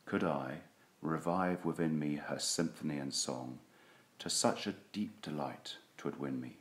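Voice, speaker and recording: A man giving a solemn, theatrical recitation of classic poetry, with deliberate phrasing. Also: extremely low pitch. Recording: roomy, echoing sound.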